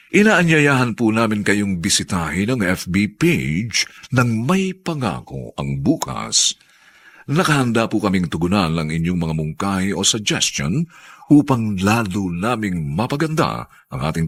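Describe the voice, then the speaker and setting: A man's voice: fairly low pitch, vocal fry, a bit bright, breathy edge, no echo. A man with a Filipino accent doing dramatic scripted narration and announcing for a radio drama.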